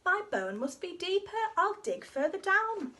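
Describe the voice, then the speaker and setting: A woman's voice: extremely high pitch, sing-song, unhurried, sharp tone, voice pushed up, exaggerated voice. A woman voicing a determined, hungry but polite male dog from a children's storybook, in an eager sing-song.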